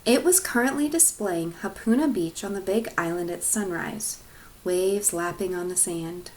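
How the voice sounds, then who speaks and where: A woman teacher reading a storybook aloud to her class in an expressive narrating style. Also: on the low side, normal speed, poor audio.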